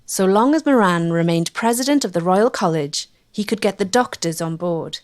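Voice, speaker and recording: A woman's voice: on the low side, lively intonation, moderate pace. A woman with a Welsh accent reading scripted narration as the presenter of a documentary.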